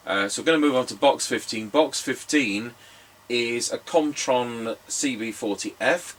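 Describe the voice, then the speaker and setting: A man's voice: on the low side, a bit bright. A man giving casual commentary as a radio technician testing equipment. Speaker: radio repair technician and presenter. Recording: distant mic, background noise.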